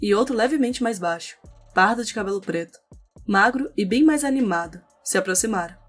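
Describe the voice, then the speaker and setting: A woman's voice: mid-range voice, expressive, fairly quick. Brazilian woman narrating over music. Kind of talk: scripted audio novel narration. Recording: music under the voice.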